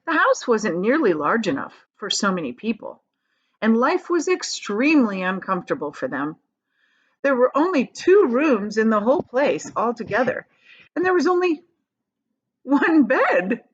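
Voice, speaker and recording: A woman reads a children's story aloud like a teacher, with dramatic emphasis and drawn-out key words. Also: fairly high pitch, sing-song, husky, lo-fi sound.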